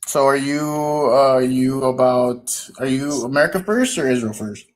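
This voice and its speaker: A man hosting a live stream, trolling a caller with crude, sarcastic, deadpan mockery. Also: mid-range voice, unhurried. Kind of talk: trolling live call chat.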